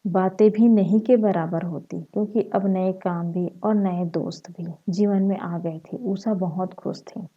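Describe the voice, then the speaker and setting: A woman with a slightly husky voice, narrating a short story. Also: on the low side, fairly even intonation, a little slow, few pauses, dark tone, lo-fi sound.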